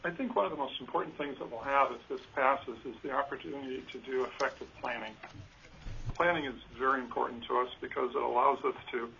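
A soft-spoken man, a school superintendent, giving earnest testimony at a legislative hearing with frequent ums. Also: mid-range voice, steady tone, fast, creaky, a little hiss or hum. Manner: earnest, hesitant with fillers. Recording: lo-fi teleconference line.

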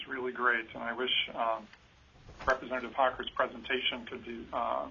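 A soft-spoken man, a school superintendent, giving earnest testimony at a legislative hearing with frequent ums. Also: medium pitch, a little hiss or hum. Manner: earnest, hesitant with fillers. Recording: lo-fi teleconference line.

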